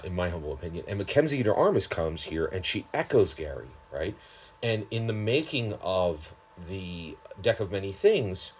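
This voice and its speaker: A man giving unscripted, opinionated commentary as a tabletop gaming vlogger, emphatic and halting, often tagging his points with a questioning word. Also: on the low side, a little mellow, breathy edge. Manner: emphatic, halting delivery with repeated tag questions.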